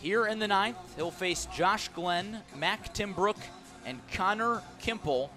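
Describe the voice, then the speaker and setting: Higher-voiced man calling baseball on radio. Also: music in the background. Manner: measured, detailed calls that swell on key plays. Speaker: play-by-play radio announcer. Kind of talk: live baseball commentary. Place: ballpark broadcast position.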